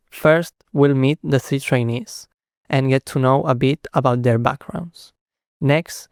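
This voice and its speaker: A young man hosting a podcast, giving a measured, scripted introduction and steering the conversation. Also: medium pitch, vocal fry, darkish tone, clear, resonant tone, no room sound, clean studio sound. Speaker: podcast host. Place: studio at an office headquarters.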